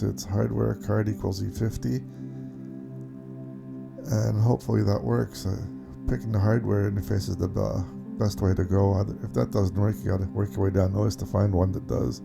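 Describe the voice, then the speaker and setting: A man giving a casual, unscripted software walkthrough, explaining things step by step in an informal way. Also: fairly low pitch, flat delivery, moderate pace, gravelly, music in the background. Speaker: tutorial presenter.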